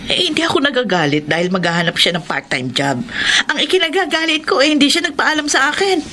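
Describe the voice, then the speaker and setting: A woman's voice: high-pitched, animated, fast talker, pushed and bright. A woman playing a worried, scolding poor mother in a Tagalog radio drama, with a Filipino accent. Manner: fretful, scolding. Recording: noisy radio drama with background ambience.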